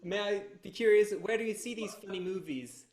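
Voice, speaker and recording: A man with a German accent hosts a panel over a video call. His voice sits a little high for a man and rings in an echoey room. He phrases things courteously, if haltingly. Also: a little mellow. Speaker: youth researcher hosting an online panel. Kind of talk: moderating a group video talk.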